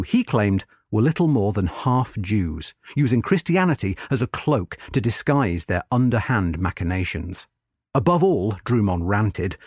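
Guy reading a history article, really lively up and down pitch, slightly rough voice, dry close sound. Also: no room sound. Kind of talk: scripted narration.

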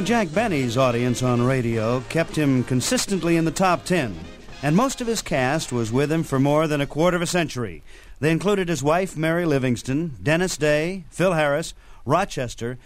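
Slightly high-voiced male radio host. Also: animated, normal speed, faint music behind. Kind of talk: scripted narration about old-time radio.

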